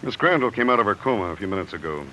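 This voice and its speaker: A shrewd police lieutenant with a deep, low voice and a bright edge, relaxed and drawling. A faint hiss sits under the old recording. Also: male voice, rapid-fire. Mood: easygoing. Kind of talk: 1950s radio drama acting.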